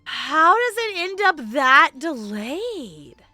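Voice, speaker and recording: Female game streamer: bright, slightly high voice, chatty off-the-cuff commentary for viewers, light music behind. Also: sing-song, slow, dry recording. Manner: chatty, informal, talking to viewers. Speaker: game livestreamer. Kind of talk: casual live gameplay commentary and chat.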